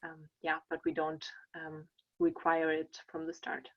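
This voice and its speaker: A woman's voice: low voice, monotone, a little slow, dull audio. A woman answering questions on a company panel, friendly and hesitant, with many fillers. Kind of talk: panel discussion.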